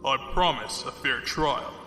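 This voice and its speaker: A man's voice: mid-range voice, a little slow, sharp tone. A man playing a courtly, condescending, ruthless fleet commodore villain in an audio drama, speaking formally. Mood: smug, courtly. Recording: echoey hall reverb, music behind.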